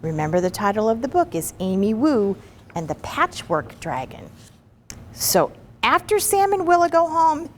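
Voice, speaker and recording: A woman giving an expressive, chatty and encouraging read-aloud of a children's picture book, with asides to the listeners. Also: on the high side, moderate pace, a little hiss or hum. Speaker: story reader.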